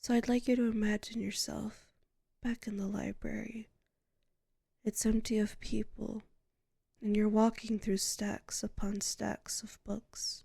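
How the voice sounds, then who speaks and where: A woman playing a soothing librarian hypnotist in a sleep ASMR roleplay, speaking softly and breathily in a half-whisper with lingering pauses. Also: mid-range voice, gravelly, slightly rough, no echo, clean studio sound, full character voice. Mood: soothing, drowsy. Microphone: close microphone.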